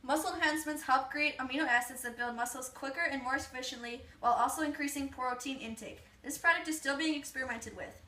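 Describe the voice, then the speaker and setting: A young woman reads scripted, advert-style narration. Her high voice is picked up from a little way off in an echoey room. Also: fast, hardly any pauses, bright, forceful tone. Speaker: puppet show performer reading mock adverts. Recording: echoey room, distant mic.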